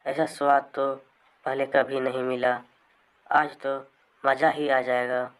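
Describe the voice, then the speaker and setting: Gluttonous, gleeful cartoon witch, male performer, exclaiming and gloating, slow delivery, raised above a narrator's pitch. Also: flat delivery, smooth, natural voice, low-quality recording. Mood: greedy delight. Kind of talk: cartoon horror-comedy storybook character.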